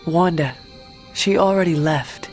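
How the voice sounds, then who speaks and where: An actress playing a formal, resolute supernatural warrior in a video game cutscene, speaking directly. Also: female voice, low voice, unhurried, a little mellow, faint music behind. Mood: matter-of-fact.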